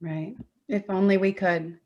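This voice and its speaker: A woman's voice: medium pitch, steady tone, measured pace, highs cut off, lightly acted. A woman playing a hurt, sarcastic adult daughter in a staged play reading, dry and confrontational with her mother. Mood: bitter, resigned.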